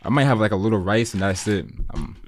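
A man chatting as a podcast guest, talking fast and repeating words as he starts sentences. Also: fairly low pitch, very noisy. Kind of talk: casual chat.

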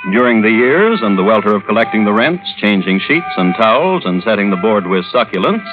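A man narrating an old-time radio drama in a wry, florid storytelling style. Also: medium pitch. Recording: phone-like vintage radio recording. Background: music.

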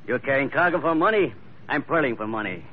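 An actor playing a ruthless, scheming pearl poacher in 1940s radio acting style, talking low and menacing. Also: male voice, animated, a little fast, natural voice. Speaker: pearler Labrado. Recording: dull old radio broadcast, faint hiss.

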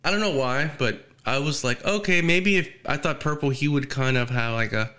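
A young man with a mid-range voice hosts a relaxed chat. He talks in a laid-back way, swears freely and laughs often. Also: medium pitch, normal speed. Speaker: podcast host, musician. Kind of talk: casual podcast chat.

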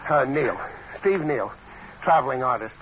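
An actor playing a breezy young traveling artist in a 1940s radio drama, casual but deferential. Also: male voice, mid-range voice, lively intonation, a little slow, fairly bright tone. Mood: polite, concerned. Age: young adult. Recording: muffled old radio with faint noise.

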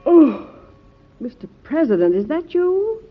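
An actress playing a fussing, caring president's wife in an old-time radio drama, fretful and affectionately scolding. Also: female voice, sits high, big pitch swings, a little slow, faint music behind. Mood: fussing, concerned. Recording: muffled vintage radio recording.

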